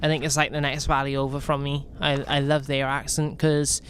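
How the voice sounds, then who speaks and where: A man's voice: a little high, monotone, a little fast, a bit bright, a little hiss or hum. A young man from Wales with a light, toned-down Welsh accent, chatting casually with viewers while playing a game, rambling and restarting his sentences. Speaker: gaming streamer. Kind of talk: casual gameplay chat.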